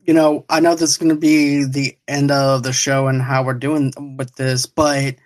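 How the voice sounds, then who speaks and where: A man's voice: medium pitch, little pitch movement, normal speed. Man, online show host, mid-range voice, casual chat, rambling delivery, repeats himself.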